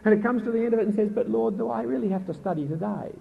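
A middle-aged man preaching a sermon in an earnest, emphatic way with a naturally loud voice, asking his listeners pointed questions. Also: sits high, rapid-fire, vocal fry. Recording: tinny phone-like recording with faint noise.

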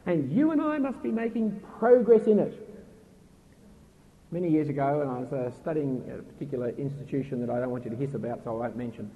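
Loud-voiced preacher on a tinny recording. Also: male voice, medium pitch, animated, fast talker. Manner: earnest, emphatic, rhetorical questions. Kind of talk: sermon. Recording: tinny phone-like recording with faint noise.

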